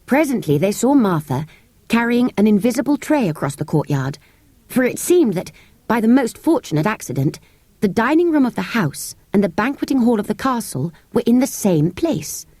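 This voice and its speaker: A woman with a British accent narrates a children's story in an expressive, measured storytelling manner. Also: on the high side, no echo. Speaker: audiobook narrator. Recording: close, dry cassette tape recording.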